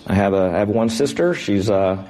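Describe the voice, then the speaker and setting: Low-voiced older American man, echoing hall. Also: fairly quick, dark tone. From Texas, United States. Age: 60s. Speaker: interviewed business executive. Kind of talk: unscripted on-stage interview answers. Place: large indoor event room before an audience.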